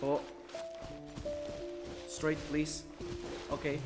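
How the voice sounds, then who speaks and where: A man's voice: on the high side, monotone, drawn-out words, over loud music. A man giving casual commentary as he streams a video game.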